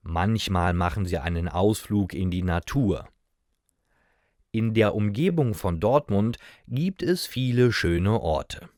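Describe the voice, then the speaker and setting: A man's voice: on the low side, darkish tone, dry recording, studio quality. A man reading a simple scripted story as a narrator for beginner language learners, in short, careful sentences. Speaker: narrator of German learning stories.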